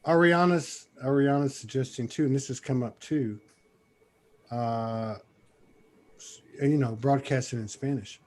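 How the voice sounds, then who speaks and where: A man's voice: mid-range voice, measured pace, pauses often. Easygoing male podcast host. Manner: relaxed, rambling with many fillers. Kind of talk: casual interview chat.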